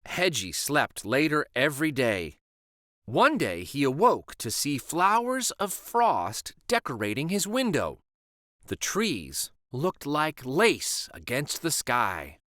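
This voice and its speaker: A man hosting a children's read-aloud, narrating a storybook in a slow, expressive and soothing way. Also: fairly high pitch, dry recording, clean studio sound.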